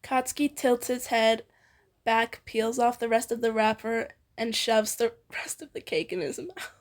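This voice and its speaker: A woman's voice: on the high side, steady tone, measured pace. A young woman reading fan fiction aloud informally with a friend, in a playful, giggly manner. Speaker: amateur fan fiction reader.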